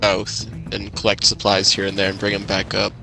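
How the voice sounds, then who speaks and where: A man's voice: fairly low pitch, completely flat delivery, moderate pace, vocal fry, sharp tone, poor audio. A man improvising as a firm, guarded leader of a survivor settlement in a zombie game skit. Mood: matter-of-fact. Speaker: player in a roleplay skit. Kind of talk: improvised game roleplay dialogue.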